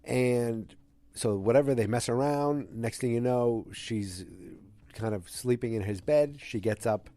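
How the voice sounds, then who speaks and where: A man's voice: medium pitch, unhurried, warm tone, no room sound. A man hosting a solo podcast with a cold, recapping casually in a loose, rambling way with many fillers and restarts. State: has a cold, stuffy. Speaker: podcast host.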